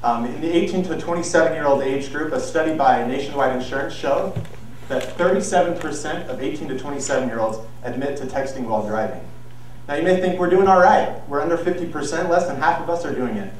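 Young man speaking in an echoey hall. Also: fairly high pitch. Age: young adult. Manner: earnest, measured, with some restarts. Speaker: student speaker. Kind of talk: persuasive speech. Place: large auditorium stage. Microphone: far camera, no visible mic. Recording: very echoey hall, distant mic, faint noise.

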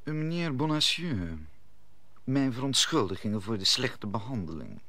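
Slow, scheming cardinal. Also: male voice, mid-range voice, very animated, pushed and bright, dry recording, full character voice. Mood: smooth, calculating. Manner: formal, commanding. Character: scheming, authoritative male cardinal. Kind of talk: radio play acting.

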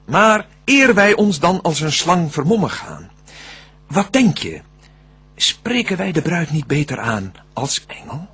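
An actor playing a cunning, smooth-tongued devil in a Dutch verse radio drama, declaiming deliberately with a husky voice. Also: male voice, fairly high pitch, very animated, a bit bright, heavily acted. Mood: persuasive, scheming. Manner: theatrical, scheming, deliberate declamation. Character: cunning, smooth-tongued male devil plotting to tempt mankind.

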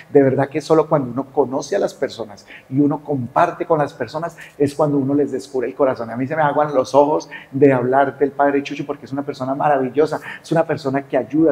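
A priest addresses his congregation with heartfelt gratitude and emotion. His voice sits at an ordinary middle pitch for a man. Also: a little hiss or hum. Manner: warm, emotional, grateful. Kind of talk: heartfelt announcement after Mass.

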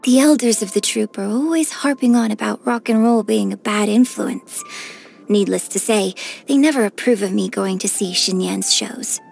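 A woman voicing a graceful, gentle and cheerful young female opera singer in a game, with polite, elegant diction. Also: mid-range voice, moderate pace, gravelly, lightly acted. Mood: defiant. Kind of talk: scripted game character voice lines. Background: background music.

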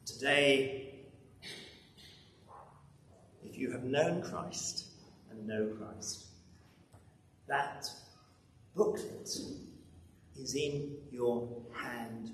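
An older man in his sixties or above preaching a sermon with emphatic delivery, dramatic pauses and rhetorical repetition. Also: medium pitch, fairly bright tone. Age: 60s or older. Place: large church interior at a lectern. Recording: echoey church, distant mic, background noise.

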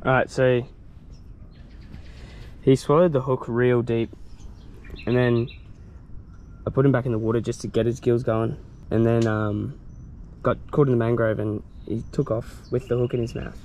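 A young Australian man excitedly narrating while wading and fishing in mangrove shallows outdoors, laughing often and talking in loose slang. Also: mid-range voice, normal speed, long pauses, slight background noise, rough recording. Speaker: fishing vlogger. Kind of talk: casual on-the-spot narration.

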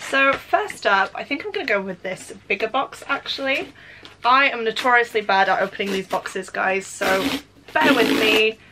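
A woman's voice: fairly high pitch, expressive, normal speed, background noise. A young Welsh woman, a native Welsh speaker, chatting eagerly to camera while unboxing books and reading their blurbs aloud in a home room. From Wales. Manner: chatty and enthusiastic, reads synopses aloud. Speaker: book vlogger. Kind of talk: casual unboxing chat.

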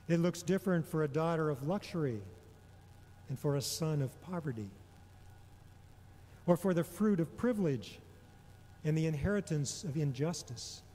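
Mellow man preaching in a reverberant hall. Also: fairly high pitch. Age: middle-aged. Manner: measured, deliberate, with frequent pauses between phrases. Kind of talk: sermon, prepared homily. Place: large indoor church or hall. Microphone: gooseneck microphone on a lectern. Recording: long reverberation of a large hall.

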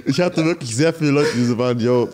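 A man's voice: mid-range voice, fast, a little mellow. A man telling stories in a laid-back casual chat, full of slang and English words.

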